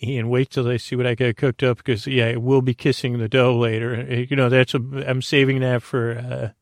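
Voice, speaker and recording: A man with a creaky voice hosting a bedtime podcast, delivering a halting, meandering, goofy comic monologue. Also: medium pitch, level tone, no echo, clean studio sound. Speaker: podcast host.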